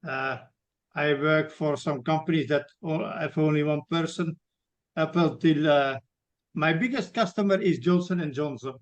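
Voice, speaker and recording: A man's voice: on the high side, normal speed, muffled recording. A man from Belgium, introducing his work in a hesitant way full of fillers and restarts. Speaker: web designer introducing himself. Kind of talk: self-introduction at an online networking meeting.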